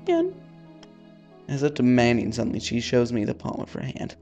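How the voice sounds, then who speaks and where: A man's voice: medium pitch, huge pitch swings, normal speed, warm tone, muffled recording, faint music behind. A man hosting a let's-play, reading a visual novel aloud with casual asides and the odd stumble over words.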